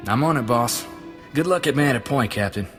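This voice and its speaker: Man as lighthearted young fighter, folksy, eager, medium pitch, hall reverb, video game dialogue. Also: lively intonation, a little fast, natural voice. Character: eager, lighthearted young male gun-for-hire sidekick. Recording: reverberant hall sound under music.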